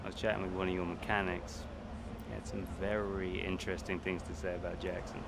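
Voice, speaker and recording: An actor playing a smug, needling young rival racing driver in a video game cutscene. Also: male voice, sits low, little pitch movement, fairly quick, creaky, background noise.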